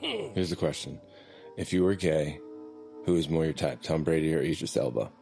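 A man's voice: a bass voice, big pitch swings, normal speed, vocal fry, darkish tone, faint music behind, heavily acted. An actor playing a brash, sarcastic young male delinquent in a comic audio drama, with slangy, incredulous banter. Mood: playful banter. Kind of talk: comic audio fiction acting.

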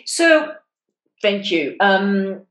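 A woman's voice: medium pitch, very animated, drawn-out words, a bit bright. A woman from continental Europe hosting a casual interview, inquisitive and often echoing back what she has just been told. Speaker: interviewer, host. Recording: roomy, echoing sound.

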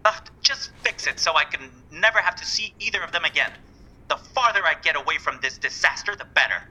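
An actor playing a smarmy, exasperated musical writer in an audio comedy, curt and melodramatic. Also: male voice, fairly high pitch, lively intonation, a little fast, pushed and bright, heavily acted, poor audio. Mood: resigned, cold. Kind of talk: audio comedy drama acting.

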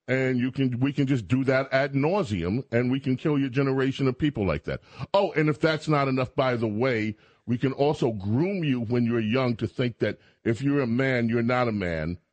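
A man's voice: mid-range voice, no echo. Male radio host, sarcastic, mocking, dry close studio sound. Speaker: radio talk show host. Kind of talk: talk radio chat. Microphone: studio microphone.

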